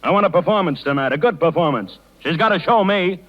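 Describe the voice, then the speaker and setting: An actor playing a brusque, complaining circus ringmaster in a 1930s radio drama, speaking in slangy bursts. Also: male voice, a little high, expressive, fast talker, lightly acted. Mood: irritated, demanding. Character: gruff, bullying circus ringmaster boss. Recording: dull, noisy 1930s radio recording.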